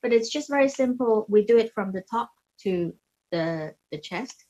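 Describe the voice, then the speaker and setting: A woman's voice: on the high side, lively intonation, moderate pace, a little mellow, poor audio. Hesitant woman demonstrating beauty tools. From Hong Kong. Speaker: beauty brand founder and facialist giving a demo. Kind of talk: casual product demonstration and explanation.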